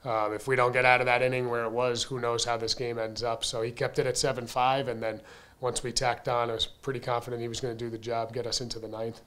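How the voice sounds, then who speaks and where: A man's voice: medium pitch, fast. A man in his thirties, a baseball coach answering questions at a postgame press conference, talking candidly with lots of fillers and bursts of emphasis into a desk mic. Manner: candid, conversational, frequent fillers, energetic emphasis. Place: press room in front of a sponsor backdrop. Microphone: desk mic on a stand.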